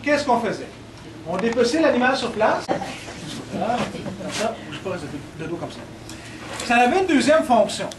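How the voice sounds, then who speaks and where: A Quebec-accented man guides a group of visitors, joking and teasing as he explains. His voice sits high for a man. The sound comes from a camera some distance away, with a loud wash of room noise behind him. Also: big pitch swings, normal speed. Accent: Quebec French. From Quebec, Côte-Nord. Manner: playful, teases the audience. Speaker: cultural tour guide. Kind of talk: guided tour talk to visitors.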